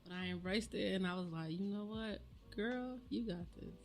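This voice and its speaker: A woman's voice: mid-range voice, normal speed. A woman in her forties chatting on a podcast, supportive and agreeing. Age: 40s. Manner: supportive, short agreeing replies. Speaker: podcast co-host. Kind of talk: casual podcast chat.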